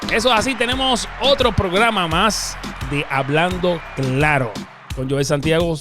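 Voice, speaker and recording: A man hosting a show with lively emphasis, his voice pitched a little high. His greetings and plugs come out with bright energy. Soft music sits faintly under him. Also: measured pace. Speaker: host. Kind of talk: enthusiastic show host, then relaxed interview.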